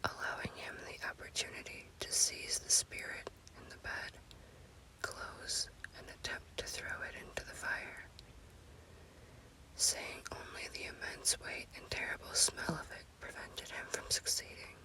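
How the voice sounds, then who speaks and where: A woman narrates a scary story as ASMR in an unvoiced, breathy whisper, in deliberate short phrases. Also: sharp tone, rough recording. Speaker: storyteller, host.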